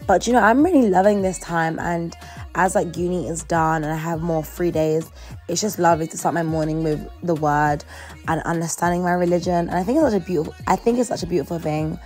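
Young London woman, lowish voice, chatty. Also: fairly quick, darkish tone, faint music behind. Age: early 20s. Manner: slangy, playful, informal. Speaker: vlogger. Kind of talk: casual, chatty morning-routine vlog narration.

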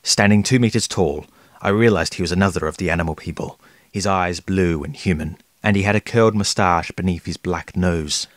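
An actor as an earnest man recounting his own adventure in an audio drama. His voice is very deep, and he tells the story as scripted narration. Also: brisk, close to an everyday voice. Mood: suspenseful narration. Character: male companion and storyteller, earnest, easily frightened.

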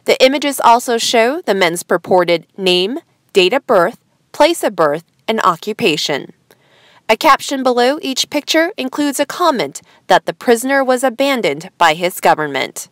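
A woman host reading scripted news headlines in a formal, measured newsreader manner. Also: a little high, sing-song, no room sound, studio quality.

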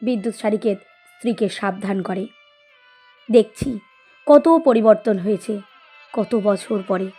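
A woman reading a scripted literary story as narrator, in an expressive storytelling style. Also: mid-range voice, measured pace, long pauses. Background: music.

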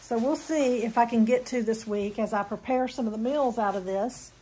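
Chatty woman with a fairly high voice. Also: moderate pace, mellow, slight background noise, low-quality recording. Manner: chatty, rambling, with ums and self-corrections. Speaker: vlogger. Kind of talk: casual grocery haul chat. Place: home kitchen, indoors.

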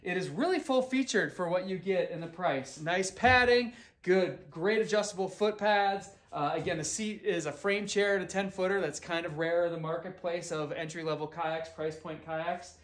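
A man's voice: sits high, normal speed, hardly any pauses, a bit bright. A man in his forties doing a casual, upbeat kayak review in an indoor garage, talking into a camera held at arm's length. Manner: upbeat, conversational, a few restarts. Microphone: handheld camera at arm's length.

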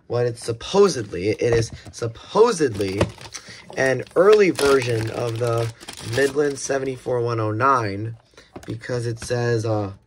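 Halting young American man, noisy recording. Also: medium pitch, animated. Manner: halting, repeats words and restarts often. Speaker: video creator unboxing weather radios. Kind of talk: casual hands-on unboxing chat. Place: indoors at a desk. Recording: clear background hiss and handling clicks.

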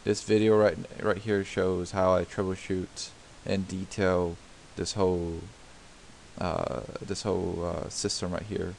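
A man with a lowish, gravelly voice narrates a do-it-yourself repair tutorial over a diagram. He explains patiently, step by step, with frequent fillers and restarted sentences. A faint hiss sits under the voice. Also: little pitch movement, measured pace, low-quality recording. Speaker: DIY home repair tutor. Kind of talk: unscripted screen-recorded tutorial narration.

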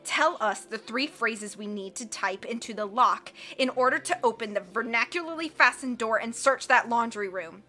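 A woman's voice: on the high side, expressive, moderate pace, few pauses, sharp tone, faint music behind. A woman voicing a blustering, greedy villain who growls, sneers and roars at everyone. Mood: snarling. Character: greedy, blustering villain man, middle-aged. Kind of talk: audiobook character voice.

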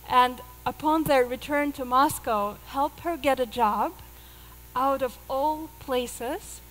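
A woman's voice: sits high, long reverb tail, rough recording. A woman in her thirties from Russia with a Russian accent, telling a personal story in an earnest way as a speaker on a theater stage, miked with a headset. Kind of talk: live stage talk.

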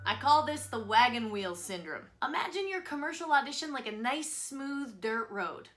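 A woman in her thirties giving scripted instructional advice to camera as a voice-over coach, in an expressive presenter's delivery. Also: fairly high pitch, normal speed, soft background music. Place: small home studio, indoors.

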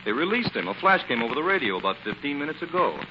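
A man's voice: on the high side, big pitch swings, fast. A man with an American accent playing a talkative antiques dealer in a 1940s radio drama. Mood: chatty, concerned. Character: talkative, civic-minded male antiques dealer. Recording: muffled, noisy 1940s radio recording.

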